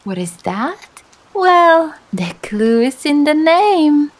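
A woman's voice: sits high, sing-song, exaggerated voice. A woman playing a seductive demon who feeds on embarrassment, speaking in a teasing, theatrical way in ASMR roleplay style. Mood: smug, teasing. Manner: teasing, theatrical, slow and drawn-out.

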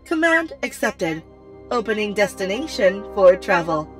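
A woman's voice: mid-range voice, big pitch swings, a little slow, a bit bright, recorded from a distance, soft background music. A woman voicing a polite, formal female robotic computer in a science fiction story, making clipped announcements.

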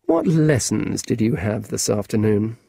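A blunt, exasperated grown man, as a male reader puts him on, his pitch swinging widely. The voice is husky and dark. It sounds dry and close. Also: animated, measured pace, dry recording, heavily acted. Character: weary, blunt adult man, impatient with a boy.

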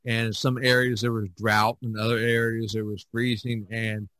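A man hosting a casual podcast chat, reminiscing in a halting, rambling way and often repeating his words. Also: mid-range voice, little pitch movement, a bit bright, no echo. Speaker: podcast host.

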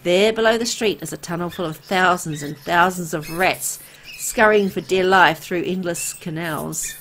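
A woman playing a weary, soft-hearted young woman who narrates her bleak town in a visual novel, reflective and conversational. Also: lowish voice, moderate pace, a little hiss or hum. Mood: pitying, somber. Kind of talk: scripted first-person visual novel narration. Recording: music under the voice. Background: background music.

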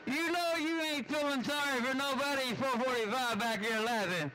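A man's voice: very high voice, normal speed, background noise. A man chatting casually over CB radio in a folksy, teasing, slangy way. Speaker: CB radio operator.

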